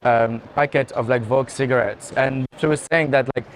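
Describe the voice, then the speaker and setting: A man giving unscripted art tour commentary in a halting, explanatory way. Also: medium pitch, steady tone, poor audio. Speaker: art gallery director in conversation. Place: indoor art fair hall. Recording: clear background noise of busy venue.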